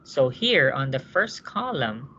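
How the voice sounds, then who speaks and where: A man's voice: mid-range voice, very animated, slow pace, bright, forceful tone, slight background noise. A man teaching a nursing class, walking students through cases with rhetorical questions and checking that they understand. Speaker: nursing instructor. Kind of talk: classroom lecture. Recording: dull lo-fi classroom recording.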